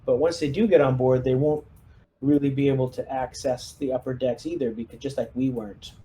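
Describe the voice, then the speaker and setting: Man planning moves, soft mellow voice. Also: medium pitch, fairly even intonation, a little fast, a little hiss or hum. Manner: collaborative, thinks aloud, hesitant phrasing. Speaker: player in a tabletop roleplaying game, leading the squad. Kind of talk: casual in-character tactical planning.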